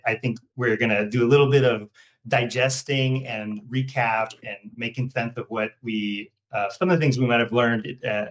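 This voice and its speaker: A man with an American accent hosting an interview in a halting, wordy, conversational way, circling around his questions. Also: medium pitch, fairly bright tone, poor audio. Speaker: podcast host.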